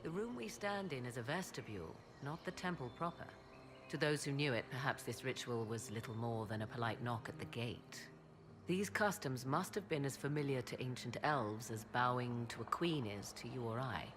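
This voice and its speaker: A woman's voice: very deep voice, lively intonation, moderate pace, background noise. A woman playing a haughty, learned, enigmatic sorceress in a fantasy game, speaking in formal, archaic diction. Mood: measured, grave. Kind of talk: dramatic fantasy game voice acting. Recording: loud game music behind the voice. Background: game soundtrack music.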